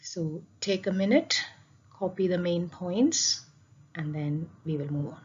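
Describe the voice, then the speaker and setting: Woman physics teacher, lowish pitch, explanatory with rhetorical questions and repetition, slightly muffled lo-fi sound. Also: expressive, a little slow, background noise. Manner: explanatory, repeats key points, asks rhetorical questions. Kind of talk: spoken classroom lesson over slides.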